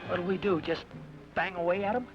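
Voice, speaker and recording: An actor playing an eager, excitable young cowboy sidekick in a dull old radio drama with music. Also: male voice, sits high, brisk. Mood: excited. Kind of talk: 1940s radio acting.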